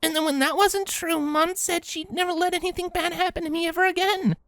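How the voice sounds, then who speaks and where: A woman's voice: extremely high pitch, fairly even intonation, brisk, a bit bright, no echo. A woman voicing a scared, defiant young pony filly with pleading, emphatic delivery in an acted audio drama. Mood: hurt, tearful.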